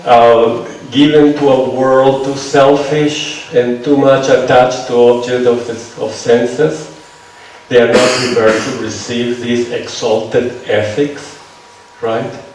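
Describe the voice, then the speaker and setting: A man with a Venezuelan accent lecturing a seminar, talking in a loose, conversational way and tagging his points with "right?". His voice sits at a middle pitch. It is picked up from far off in a very echoey hall, with faint noise behind. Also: level tone, takes it slowly, darkish tone. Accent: Venezuelan Spanish. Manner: conversational, checks on listeners with "right?". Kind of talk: lecture with audience questions.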